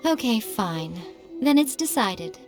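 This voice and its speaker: A woman's voice: on the high side, very animated, slow pace, full character voice. A woman playing a sly, haughty shrine priestess in a video game, with a poised, drawling, playfully condescending delivery. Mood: haughty, teasing. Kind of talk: English video game voice acting. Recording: game music behind.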